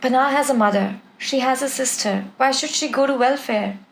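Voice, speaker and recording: A woman's voice: sits high, moderate pace, creaky, slight background noise, low-quality recording. A woman playing an upset young woman who pleads with her uncle, in a screenplay table read. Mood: upset, insistent.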